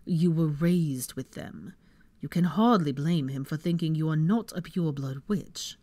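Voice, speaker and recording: A woman's voice: deep voice, a little slow, a little mellow, no room sound. A woman voicing a haughty middle-aged male wizard in an audiobook story, with a controlled, sarcastic drawl. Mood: amused, sardonic.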